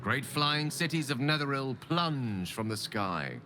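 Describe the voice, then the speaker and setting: A man acting as an eloquent, ambitious wizard in a video game, speaking in theatrical, erudite phrases with dramatic pauses. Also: medium pitch, very animated, background noise. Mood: storytelling. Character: ambitious, eloquent male wizard hungry for godlike power. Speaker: game character, wizard companion.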